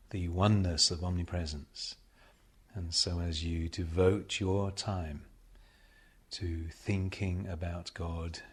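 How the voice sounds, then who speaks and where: A man leading a guided meditation as a spiritual teacher, speaking solemnly and deliberately, with measured pauses and repeated phrases. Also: low voice, fairly bright tone, husky.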